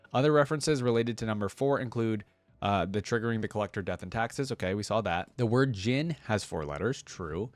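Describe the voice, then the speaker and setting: The man you'd hear on a fan's reaction channel, reading a wiki out loud in a dry, close room and chatting through his thoughts. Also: mid-range voice, no echo. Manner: casual asides and musing aloud. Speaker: reaction video host. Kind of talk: reading wiki text aloud with casual commentary.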